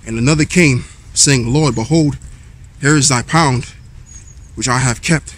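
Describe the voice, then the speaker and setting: A man's voice: mid-range voice, a little slow. Man preaching on a walk outdoors. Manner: conversational preaching with fillers, reads verses aloud. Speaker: street preacher vlogger. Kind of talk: walking vlog, preaching and reading scripture. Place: outdoors in a wooded park path. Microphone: handheld camera at arm's length while walking.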